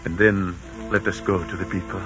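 An actor playing a proud, sorrowful captive Aztec emperor, speaking formally in a 1940s radio drama. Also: male voice, deep voice, steady tone, a little fast, over music, natural voice. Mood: sorrowful, solemn. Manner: formal, declamatory. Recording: noisy, dull 1940s radio recording.

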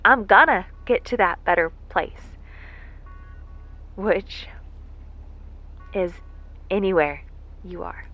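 A woman playing an earnest, vulnerable worker recording a heartfelt message in an audio drama, speaking in a folksy, halting, reflective way. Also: mid-range voice. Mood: tender, hopeful. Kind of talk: intimate monologue in an audio drama. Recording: muffled lo-fi audio with music behind. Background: music.

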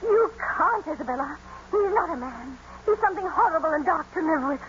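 Anguished young gentlewoman: high-pitched, imperious, intense, vintage radio sound with faint noise. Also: animated, fairly quick. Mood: anguished, urgent. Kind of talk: 1940s-50s radio drama acting. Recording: muffled old radio broadcast, faint noise.

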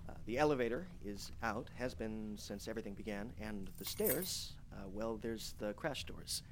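An actor playing a frightened young man trapped alone, recording a halting, stammering diary in a horror audio drama. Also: on the low side, animated, slight background noise, natural voice. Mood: uneasy, halting.

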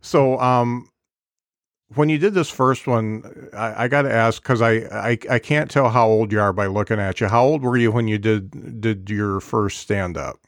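A man's voice: fairly low pitch, moderate pace, no room sound, clean studio sound. A man in his fifties hosting a live-streamed podcast, chatting casually with frequent fillers and restarts. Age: 50s. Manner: hesitant, many fillers and restarts. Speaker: podcast host. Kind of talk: casual interview chat.

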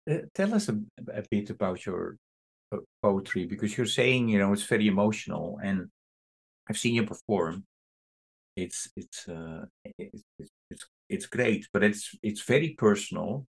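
A man hosting a podcast interview, asking halting questions full of restarts and fillers. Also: lowish voice, vocal fry. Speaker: podcast host.

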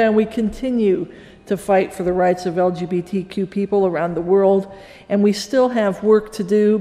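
Flat, dark-toned speaker in a hall. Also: mid-range voice. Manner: earnest, declamatory. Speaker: speaker at a memorial. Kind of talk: public speech. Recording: reverberant hall.

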